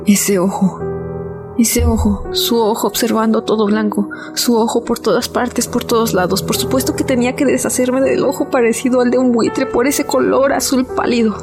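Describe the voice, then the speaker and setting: A woman's voice: fairly high pitch, steady tone, normal speed, natural voice. A woman acting the part of an obsessive murderess who insists she is sane, speaking in a brooding, repetitive, dramatic way in a radio drama. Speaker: narrator and protagonist. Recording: voice over loud music.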